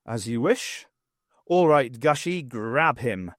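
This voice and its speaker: A man voicing a quick-witted woman archaeology professor, wry and commanding, in an audiobook. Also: mid-range voice, lively intonation, no echo, studio quality.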